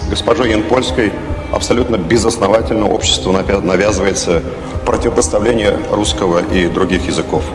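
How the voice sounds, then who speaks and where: A man giving a formal, measured speech as a lawmaker addressing a session. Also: fairly low pitch. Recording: echoey hall with music beneath.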